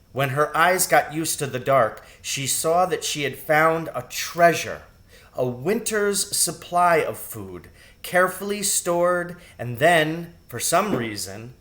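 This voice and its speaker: Slow-paced man reading a children's novel. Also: medium pitch, a bit bright. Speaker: storybook reader, narrator. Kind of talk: read-aloud children's novel narration.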